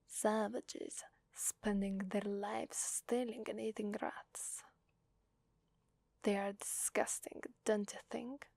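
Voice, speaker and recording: A woman from Italy playing a sadistic, playful female demon in a whispered ASMR roleplay, teasing and menacing in a soft, breathy voice. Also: mid-range voice, little pitch movement, takes it slowly, no room sound. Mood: gleeful contempt. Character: sadistic, playful female demon mistress who relishes blood.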